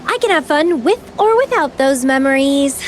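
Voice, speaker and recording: A woman's voice: high-pitched, lively intonation, normal speed, a little hiss or hum. An actress playing a playful, carefree, enigmatic young woman in a video game, with a teasing, languid, theatrical delivery. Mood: nonchalant.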